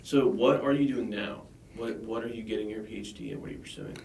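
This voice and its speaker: A man's voice: lowish voice, fairly even intonation, fast, gravelly, a little mellow, a little hiss or hum. Eager man asking questions, echoey room. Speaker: podcast co-host. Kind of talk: casual interview chat. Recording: echoey room, far from mic.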